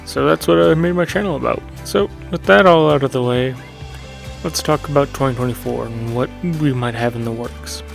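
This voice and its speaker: Soft-spoken man musing about his channel. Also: medium pitch, normal speed, vocal fry, soft background music. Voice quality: soothing. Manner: meandering, self-correcting with fillers. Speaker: gaming channel host. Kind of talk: casual reflective monologue.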